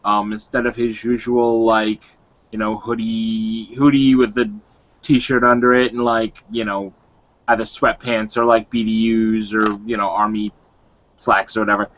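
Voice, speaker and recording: A man casually describing his character in a tabletop roleplay, halting and searching for words. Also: fairly low pitch, little pitch movement, a bit bright. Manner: halting, hedging with repeated likes. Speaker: roleplay player. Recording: dull online call audio.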